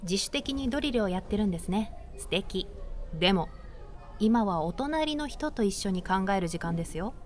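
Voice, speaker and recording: A woman's voice: mid-range voice, lively intonation, moderate pace, a little mellow, natural voice. A woman acting a strict but encouraging primary school teacher, firm and polite, in a voice drama.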